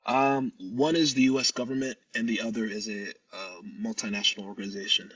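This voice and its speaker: A man from the United States, a startup founder answering interview questions in a measured, steady way with occasional pauses. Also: lowish voice, slightly rough, no echo, recorded from a distance, muffled recording.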